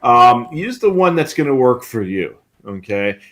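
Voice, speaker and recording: A man's voice: mid-range voice, very animated, unhurried, a bit bright. Middle-aged American man, folksy explainer. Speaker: co-host of a radio scanner show. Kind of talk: casual explanatory talk on a livestream. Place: indoor home radio room on a video call.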